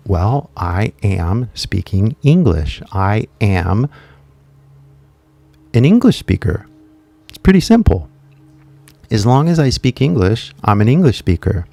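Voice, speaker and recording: A man voicing an adult Japanese man who is learning English and talks himself through it, with slow, deliberate, repetitive phrasing and frequent fillers. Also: fairly low pitch, darkish tone, dry recording. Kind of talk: modeled learner self-talk.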